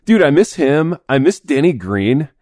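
A man hosting a sports podcast in a studio, his voice raspy this morning, chatting in a laid-back, chummy way. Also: on the high side, very animated, moderate pace, a little mellow, dry recording. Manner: laid-back, chummy, says dude a lot. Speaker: podcast host. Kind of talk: casual sports chat.

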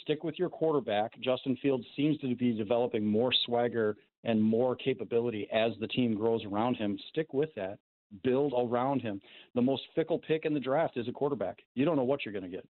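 A man calling a sports radio show over the phone, laying out his take as a fan in a measured, reasoned way. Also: mid-range voice. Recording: narrow, tinny phone line.

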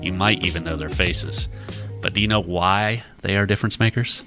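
Deep-voiced male podcast host. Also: pushed and bright, faint music behind. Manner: measured, read-aloud delivery. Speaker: podcast host, newspaper editor. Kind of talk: scripted intro then interview.

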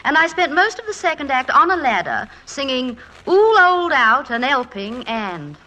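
An actress playing a poised, teasing, affectionate middle-aged wife and former stage star, in a playful, theatrical 1940s radio style. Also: female voice, high-pitched, very animated, moderate pace, pushed and bright, full character voice. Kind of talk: 1940s radio comedy-drama acting. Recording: noisy 1940s radio recording.

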